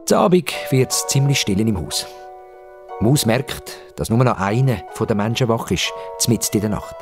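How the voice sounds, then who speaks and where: A man with a Swiss German accent narrating a children's story dramatically, with suspenseful pauses and repetitions. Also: on the low side, over music. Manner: expressive, suspenseful, with repetitions for effect.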